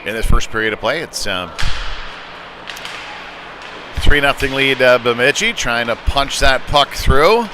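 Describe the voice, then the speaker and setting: A man's voice: mid-range voice, measured pace, pauses often. A man doing live ice hockey play-by-play in a conversational manner that lifts on the action. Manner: conversational, rising to excited calls on big plays. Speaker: former goaltender. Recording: clear arena noise behind the voice.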